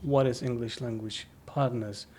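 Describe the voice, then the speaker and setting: A man's voice: mid-range voice, fairly even intonation, unhurried, dark tone. Friendly male podcast host. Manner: friendly, laughs often, loose phrasing. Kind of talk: casual podcast interview. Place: office.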